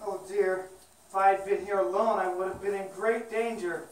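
A woman's voice: medium pitch, fairly even intonation, normal speed, close to an everyday voice. Woman voicing a drowsy rat puppet, distant. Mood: worried. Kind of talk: children's puppet show acting. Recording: echoey room, distant mic, faint noise.

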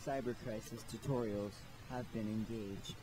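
A cyborg system voice, put on by a man makes a formal scripted announcement. It is mellow and dark in tone, with a flat, official delivery. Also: fairly low pitch, normal speed, lightly acted, rough recording. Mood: robotic, formal.

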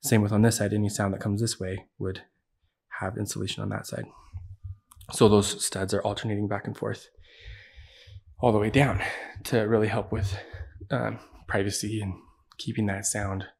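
A man's voice: lowish voice, normal speed, vocal fry, darkish tone, breathy edge. An American man giving a casual, matter-of-fact walkthrough of a building job, explaining as he goes. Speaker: builder presenting a project. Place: indoors, large unfinished basement.

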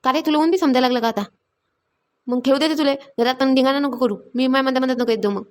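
Woman as cartoon village mom, high, extremely quick, nagging. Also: close to an everyday voice. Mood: bossy, busy. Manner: nagging, rapid repeated orders. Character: scolding, busy village mother. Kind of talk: Marathi comedy cartoon dialogue.

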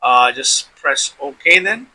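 A man's voice: mid-range voice, expressive, takes it slowly, bright, forceful tone, a little hiss or hum, poor audio. A man hosting an informal tech demonstration, explaining step by step and often repeating himself. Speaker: tutorial host.

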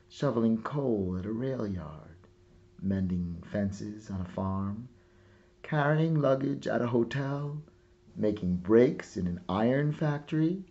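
A man reading a children's picture book aloud expressively, with dramatic pauses and hushed phrase endings. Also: fairly low pitch, highs cut off. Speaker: storybook reader. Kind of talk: scripted narration, picture book read-aloud.

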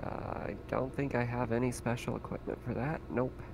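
A man's voice: mid-range voice, steady tone, a little fast, creaky, very noisy. A man talking casually as a player in a tabletop game. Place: indoors, online voice call.

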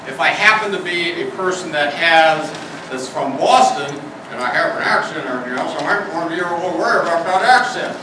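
An older man giving a plain-spoken, conversational evaluation of a contestant's speech to an audience. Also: a little high. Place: meeting hall with an audience. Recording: echoey hall, far from mic, noisy.

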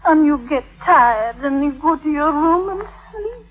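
A woman's voice: high-pitched, lively intonation, a little slow. An actress playing a young, childlike, yearning schoolgirl wife with earnest simplicity, in 1950s radio drama style. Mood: plaintive. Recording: tinny band-limited 1950s radio broadcast.

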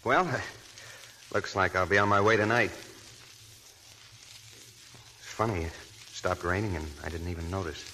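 A polite young army officer in a 1940s radio drama. His voice is low and bright. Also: unhurried, pauses often. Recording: old noisy radio broadcast.

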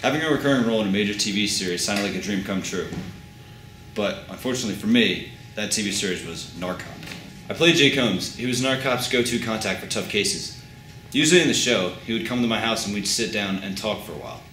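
An actor playing a rueful former supporting actor, talking wryly and conversationally in a mockumentary interview. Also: male voice, lowish voice, animated, fast talker, sharp tone, reverberant, a little hiss or hum. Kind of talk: mockumentary interview comedy acting.

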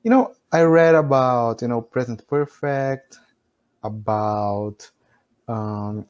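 A Brazilian man in his thirties speaks English with a Brazilian Portuguese accent over a video call. His voice is mellow and relaxed. He fills his talk with "you know" and laughs often. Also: medium pitch, takes it slowly, clear, resonant tone, lo-fi sound. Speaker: English learner. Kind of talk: casual conversation practice. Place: small room at home, indoors.

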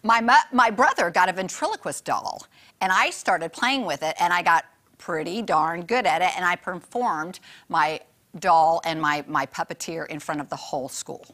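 A woman's voice: on the low side, normal speed, sharp tone. A woman co-hosting a morning TV show, bubbly and teasing in casual banter, on a lapel mic in a studio. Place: TV studio set.

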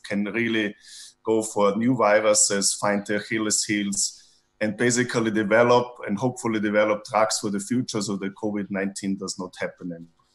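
A man closing a panel presentation over a video call, halting and searching for words. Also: on the low side. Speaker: company co-founder, panelist.

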